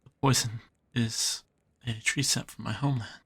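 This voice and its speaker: A man voicing a shy, bumbling male jacklomire creature with vampire traits, who speaks in a halting, hesitant way. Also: medium pitch, steady tone, fairly bright tone, breathy edge, no echo. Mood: nervous, hesitant. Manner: halting, hesitant, many fillers. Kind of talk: audio roleplay drama.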